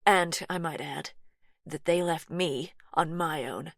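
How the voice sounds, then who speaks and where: Narrator voicing a soft-spoken, anxious young American woman; low voice, dry read. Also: takes it slowly, creaky, no room sound, exaggerated voice. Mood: defensive, anxious. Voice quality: soft, firm.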